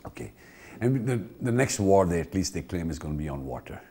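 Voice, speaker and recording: A man's voice: sits low, big pitch swings, a little fast, dark tone. A man with an Indian accent giving an informal training talk, emphatic and repetitive, often checking in with rhetorical questions. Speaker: pool company trainer.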